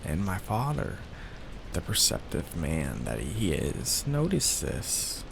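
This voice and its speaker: A man voicing a soft-hearted young male mafia heir in an ASMR roleplay, talking in a hesitant, sheepish way with many fillers. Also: deep voice, slow pace, vocal fry, noisy background, full character voice. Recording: steady rain sounds behind the voice.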